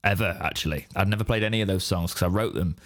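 An English man with a deep, raspy, hoarse voice from straining it, rambling in casual podcast chat. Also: fast talker, a bit bright. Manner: rambling, restarts mid-sentence. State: hoarse after straining his voice. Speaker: podcast co-host.

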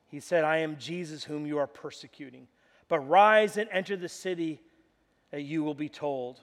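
Male pastor, high-pitched, loud and animated, preaching in a church hall with long reverb. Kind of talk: sermon.

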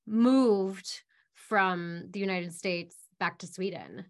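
A woman's voice: medium pitch, slow pace. A woman hosting a casual interview podcast, friendly and encouraging as she asks her guest questions. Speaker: podcast host.

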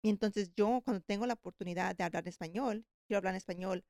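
A woman's voice: mid-range voice, quick pace, a little mellow, no echo, studio quality. A woman hosting a podcast, reacting eagerly and telling stories while mixing Spanish and English. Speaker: host. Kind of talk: casual bilingual conversation.

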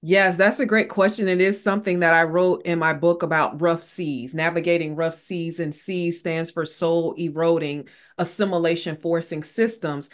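A woman's voice: lowish voice, steady tone, moderate pace, hardly any pauses. A woman author speaking as a guest in a casual interview, earnest and repeating phrases for emphasis.

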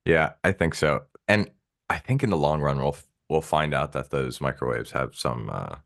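A man's voice: extremely low pitch, moderate pace, creaky, fairly bright tone. A man hosting a podcast, reading a scripted intro with a dry, self-deprecating joke and then asking questions casually. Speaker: podcast host.

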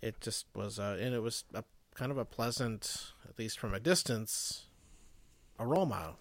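A man's voice: on the low side, moderate pace. A man in casual conversation who asks questions and often repeats his first words. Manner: inquisitive, stammers on first words. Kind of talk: casual chat.

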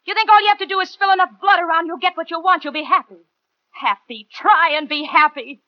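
A woman's voice: very high voice, a little fast, no room sound. An actress with an American accent playing a defiant doomed woman in a 1940s radio thriller. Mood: bitter, defiant. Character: defiant doomed woman facing her killer. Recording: dull vintage 1940s radio recording.

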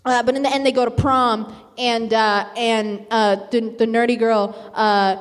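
A woman's voice: fairly high pitch, fairly even intonation, a little slow. A nineteen-year-old woman casually improvising a comic story, rambling with lots of "like" and "you know". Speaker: game show contestant. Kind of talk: improvised comic movie pitch, casual chat. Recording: echoey hall with long reverb.

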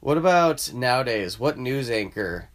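A man's voice: medium pitch, measured pace. Guy hosting a podcast, loose rambly chat, been drinking. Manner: relaxed, rambling banter after drinks. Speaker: podcast host. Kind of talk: casual chat.

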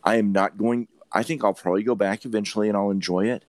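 A man's voice: lowish voice, steady tone, quick pace, dry recording. A man hosting a podcast, discussing films casually in an emphatic, opinionated way and swearing freely. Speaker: podcast host.

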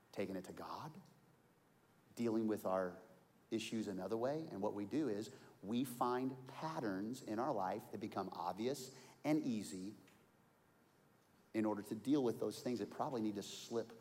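A pastor in his forties preaching a sermon, telling stories and swinging from quiet asides to loud outbursts. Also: lowish voice. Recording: long hall reverb.

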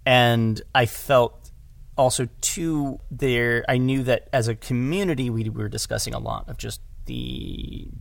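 A man's voice: medium pitch, fairly even intonation, measured pace, vocal fry, no echo. A man talking casually in an interview as an audio drama director, rambling and often correcting himself with emphasis.

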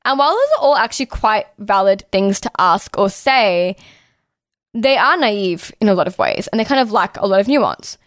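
A woman's voice: mid-range voice, lively intonation, moderate pace, a bit bright, no echo, studio quality. A young Australian woman talking casually and bluntly as a podcast co-host, saying "like" constantly and swearing freely. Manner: outspoken, heavy use of "like", swears freely.

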